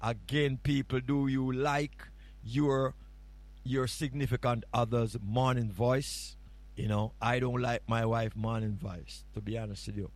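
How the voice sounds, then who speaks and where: A man's voice: medium pitch, steady tone, measured pace. A man with a Jamaican accent, filling in as a radio host and chatting casually on a morning show in a playful, rambling, teasing way.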